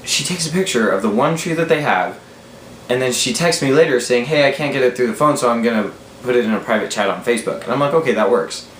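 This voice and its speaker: A man's voice: medium pitch, fast. A man in his twenties with an American accent, telling a story casually and animatedly, quoting dialogue as he goes. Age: 20s. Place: small room at home, indoors. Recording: roomy echo, faint background noise.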